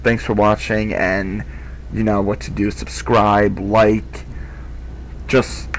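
A man's voice: lowish voice, level tone, takes it slowly, slight background noise, rough recording. A young man narrating a replay of his own strategy game match in a casual, rambling way, with many fillers and restarts.

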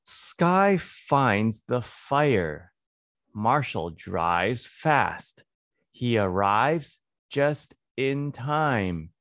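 A man in his thirties giving a read-aloud English phonics lesson for children, deliberate and repetitive, close to a desk microphone in a small room. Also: mid-range voice, big pitch swings, fairly bright tone, dry recording. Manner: deliberate, repeats words and sentences. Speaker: English reading teacher for children. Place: small indoor home studio. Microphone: close desk microphone on boom arm.

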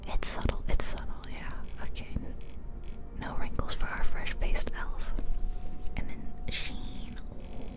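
Female ASMR creator: soft breathy whisper, close-miked, unhurried, casual thinking-aloud commentary over a game. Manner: unhurried, murmuring thoughts aloud. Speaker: ASMR video creator and gamer. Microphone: close ear-to-ear ASMR microphone.

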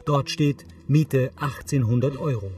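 A man's voice: mid-range voice, unhurried, a little mellow, distant mic, soft background music. A man reading neutral, scripted audio description for a TV series.

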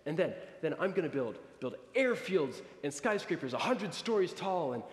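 A man's voice: on the high side, sing-song, moderate pace. A man playing an eager, dreamy, boyish young small-town man who stammers, in a 1940s radio play. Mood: dreamy, excited. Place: large church stage before an audience. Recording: echoey hall from a stage.

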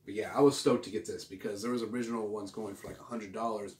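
A man's voice: fairly low pitch, steady tone, fast talker, darkish tone. A man in his forties chatting casually to camera like a vlog host, with a laid-back, rambling, slangy delivery. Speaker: host of a record collecting video channel. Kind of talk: casual show-and-tell vlog chat. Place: small home room, indoors. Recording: roomy, distant mic with clear background noise.

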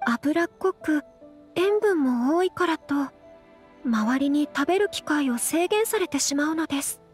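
A woman's voice: high-pitched, lively intonation, unhurried, faint music behind. A woman voicing a polite, refined young noblewoman in an anime game, speaking in a formal manner.

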